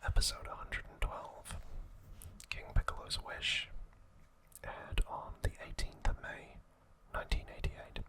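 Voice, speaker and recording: Male, soft breathy whisper, slow pace, flat delivery, ASMR scripted narration. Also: rough recording. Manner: slow, deliberate, short broken phrases. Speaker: ASMR host narrating anime trivia.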